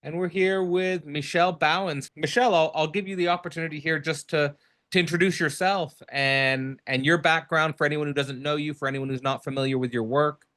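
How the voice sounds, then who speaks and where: A man hosting an online event, welcoming a guest with a halting introduction that repeats words. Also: on the high side, fairly even intonation, bright, forceful tone. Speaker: host.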